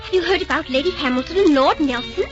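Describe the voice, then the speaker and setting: An actress playing an eager, scandalized society gossip, excitable and breathless, in an old-time radio drama. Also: female voice, sits high, fast, lightly acted. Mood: excited, scandalized. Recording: muffled old recording, loud music.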